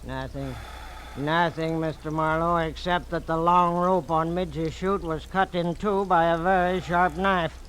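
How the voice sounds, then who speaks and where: A man's voice: fairly high pitch, fairly even intonation, unhurried, lightly acted, low-quality recording. An actor playing a blunt, suspicious man in a 1940s radio drama, with insistent, emphatic phrasing. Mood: grimly insistent. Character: suspicious, blunt man demanding straight answers. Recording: old radio recording, slight noise.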